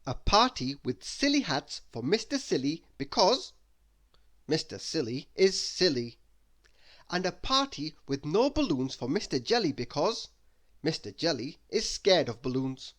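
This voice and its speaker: A man reading a children's story aloud as narrator, expressive and deliberate with dramatic emphasis. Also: a little high. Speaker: storybook reader.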